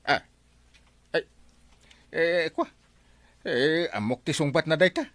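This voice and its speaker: A man's voice: on the high side, takes it slowly, fairly bright tone, dry recording. A man acting a flustered, stammering village captain uncle in an Ilocano comedy drama. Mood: flustered, stalling. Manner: stammering, hesitant, exclaiming. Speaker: radio comedy actor.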